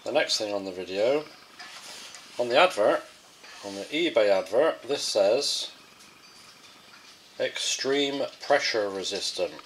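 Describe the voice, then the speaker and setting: A man's voice: lowish voice, animated, takes it slowly, long pauses, fairly bright tone, a little hiss or hum, rough recording. A man presenting a casual gadget field test in a hesitant, off-the-cuff way.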